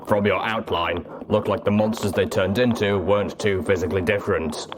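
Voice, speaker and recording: A man voicing a gruff, commanding undead pony soldier in armor, speaking tersely in an audiobook reading. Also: fairly low pitch, steady tone, brisk, noisy background, natural voice. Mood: matter-of-fact. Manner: terse, military.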